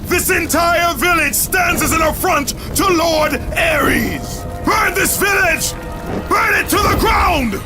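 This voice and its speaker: An actor playing a fanatical soldier serving a war god, shouting orders in a video game cutscene. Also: male voice, very high voice, slow, over music, exaggerated voice. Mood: fanatical command. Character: zealous male soldier of a war god, commanding. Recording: game cutscene with loud score.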